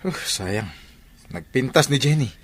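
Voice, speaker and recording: A man's voice: mid-range voice, lively intonation, unhurried, slight background noise, close to an everyday voice. An actor playing a concerned, frank young male friend in a Filipino radio drama, with an Ilocano accent. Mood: incredulous.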